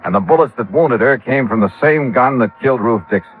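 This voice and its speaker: A man's voice: medium pitch, a little fast, lightly acted. An American man playing a wry, self-assured insurance investigator in a 1950s radio drama, with a clipped, hard-boiled delivery. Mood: brisk narrating. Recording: tinny vintage radio recording with faint music.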